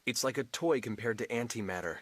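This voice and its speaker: A man's voice: mid-range voice, a little fast, lightly acted. An actor playing a brooding, earnest young male hero in a dramatic video game. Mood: guarded, defiant.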